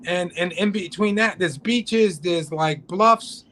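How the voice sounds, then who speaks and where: A man's voice: high voice, slow pace, pushed and bright, a little hiss or hum. A man talking as a guest on a live drone show, rambling with many restarts and fillers. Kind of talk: casual chat on a live show. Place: home, cold region.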